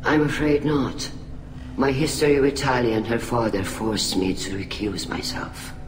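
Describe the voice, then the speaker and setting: An actress playing a dignified, caring middle-aged alien admiral in a video game, with a deep, husky voice and measured, formal speech. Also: female voice, fairly even intonation. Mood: warm, regretful. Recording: echoey hall with clear noise.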